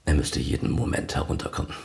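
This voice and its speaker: An actor playing a polite, deferential arts foundation official in a radio play, halting and eager to please. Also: male voice, lightly acted.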